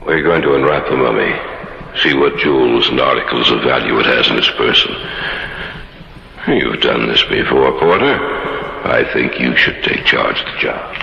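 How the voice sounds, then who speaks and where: Stern, dismissive archaeologist, radio drama, forceful delivery, very deep, authoritative voice. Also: male voice, animated, normal speed, creaky, heavily acted. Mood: impatient. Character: stern, skeptical male archaeologist leading an Egyptian expedition. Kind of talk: old-time radio drama acting. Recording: echoey, muffled old radio drama recording.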